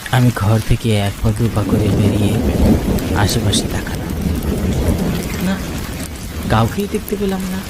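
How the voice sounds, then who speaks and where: A man's voice: on the low side, very animated, a little slow, pauses often. A man playing an earnest, kindly village yogurt seller who narrates his own cartoon story in a suspenseful storytelling manner. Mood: puzzled, suspenseful. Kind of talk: first-person cartoon story narration with dialogue. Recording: loud rain and thunder noise.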